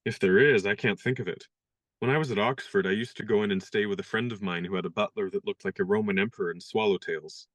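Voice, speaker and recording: An actor in a radio play playing a young gentleman disguised as a valet, speaking in a dry, good-humored, polite way. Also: on the low side, fast talker, no room sound. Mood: wry.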